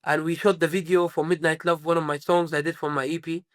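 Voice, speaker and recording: A man's voice: on the high side, little pitch movement, fast, a bit bright, no echo. Sudanese-born man, rambling and dry. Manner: rambling, many fillers and restarts. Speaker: musician guest. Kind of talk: casual interview answers.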